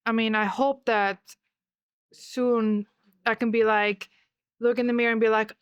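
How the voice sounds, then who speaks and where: A woman's voice: fairly high pitch, flat delivery, a little slow, clean tone, no echo, lo-fi sound. A woman hosting an interview podcast, talking reflectively and asking her guest questions. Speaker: podcast host. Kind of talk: casual interview chat.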